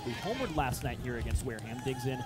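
A man's voice: medium pitch, huge pitch swings, fast, over loud music. A man doing live baseball radio play-by-play in short, descriptive phrases. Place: outdoor ballpark broadcast position.

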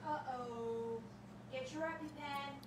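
A woman's voice: high-pitched, slow, rough recording. A woman off camera calling out teasing remarks from across a home kitchen. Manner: teasing, calls out from across the room. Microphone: off-camera, far from the phone.

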